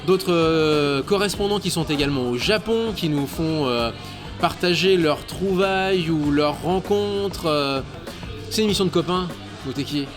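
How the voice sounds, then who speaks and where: Man, thirties, chatty interview guest, slightly high voice, stammering fillers, clear bar music behind. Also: a little slow. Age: 30s. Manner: chatty, hesitant with many fillers and stammered repeats. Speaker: guest, radio show co-host. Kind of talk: casual interview chat.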